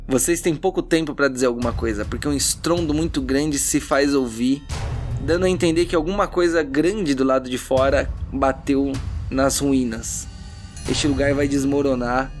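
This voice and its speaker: A man's voice: mid-range voice, steady tone, moderate pace. A man working as game master, narrating a tabletop fantasy adventure in a dramatic storytelling style. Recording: background music under the voice.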